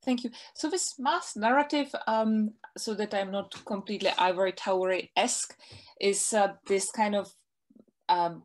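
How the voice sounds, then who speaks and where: A woman in her forties with a Czech accent, a historian talking thoughtfully as the guest in a webinar interview over a video call from a home study. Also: medium pitch. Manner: thoughtful, articulate, gestures while talking.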